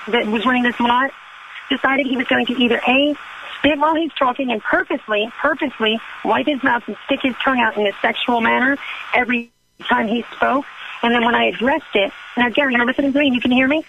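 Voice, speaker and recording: Prank-call role, female performer: indignant, flirty, pushy woman with a higher voice, rambling complaint, lo-fi telephone sound. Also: steady tone, a little fast, slight background noise, lightly acted. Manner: rambling, interrupting, pushy, calls listener sweetheart. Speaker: prank caller. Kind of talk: improvised prank phone call. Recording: dull lo-fi phone line.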